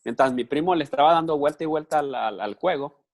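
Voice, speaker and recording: A man's voice: fairly high pitch, a little fast. A man working as a live interpreter for a conference speaker, talking conversationally with repeated words and restarts.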